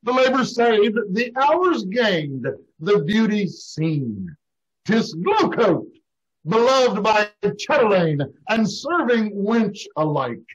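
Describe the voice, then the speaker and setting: A man's voice: sits high, sing-song, slow pace, breathy edge, exaggerated voice. A man playing a pompous, hammy announcer who declaims grandly like a Shakespearean actor in old-time radio comedy. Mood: grandiose. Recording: dull online call recording.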